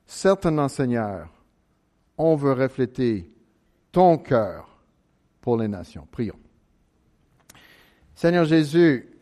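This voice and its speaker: A man's voice: fairly high pitch, a little mellow. Earnest American man preaching in French. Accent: American English. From United States, Chicago suburbs. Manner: earnest, halting with many restarts, prayerful. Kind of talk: sermon.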